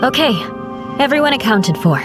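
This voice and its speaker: A woman playing a composed, responsible young leader in a video game, speaking in a measured, serious, resolute way. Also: high voice, very animated. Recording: loud music behind the voice. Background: loud game music.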